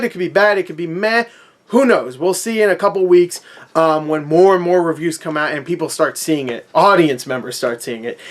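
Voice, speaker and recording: A man giving a casual, unscripted movie rant as an online host, conversational and opinionated, with emphatic repetition. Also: a little high. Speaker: YouTube movie reviewer and host.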